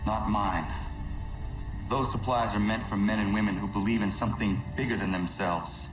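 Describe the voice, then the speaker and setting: An actor playing an idealistic young revolutionary in a video game, stern, earnest and formal in speech. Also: male voice, fairly low pitch, steady tone, moderate pace, recorded from a distance, music in the background, close to an everyday voice. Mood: solemn conviction. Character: grave, idealistic young male revolutionary fighter. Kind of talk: dramatic video game acting.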